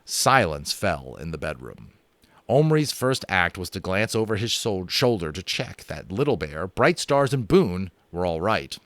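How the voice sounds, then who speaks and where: A man narrating a children's fantasy book aloud in an expressive, dramatic style, with casual asides. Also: sits low, measured pace, no echo. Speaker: audiobook narrator.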